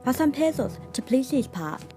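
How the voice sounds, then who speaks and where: Audio drama henchwoman, female actor: tough hired gun, high voice, animated, mocking. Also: moderate pace, faint music behind. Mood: taunting. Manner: taunting, brash. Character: tough, taunting female mercenary.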